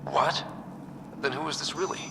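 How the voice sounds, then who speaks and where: An actor playing an earnest young space explorer and leader in a sci-fi game, casual but resolute. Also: male voice, on the high side, huge pitch swings, moderate pace, full character voice. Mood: concerned. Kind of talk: cinematic sci-fi game acting. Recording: clear background ambience noise.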